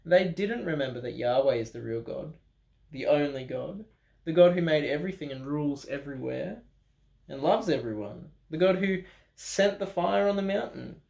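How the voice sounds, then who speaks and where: A man reading a children's Bible story aloud in an animated way, with dramatic pauses, expressive emphasis and playful asides. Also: a little high, creaky. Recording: roomy, echoing room sound.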